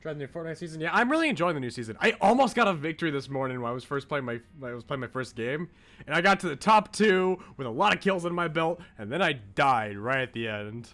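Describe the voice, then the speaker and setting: A man's voice: on the high side, expressive, quick pace, fairly bright tone. A man streaming a video game, chatting casually with his viewers in rambling asides and reading on-screen text aloud.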